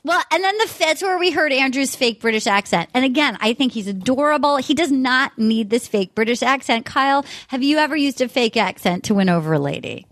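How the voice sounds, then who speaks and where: A woman's voice: sits high, lively intonation, fairly quick, hardly any pauses, a bit bright, dry recording, studio quality. A woman hosting a casual panel chat, recapping a dating show in a chatty, storytelling way. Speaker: podcast host leading a panel.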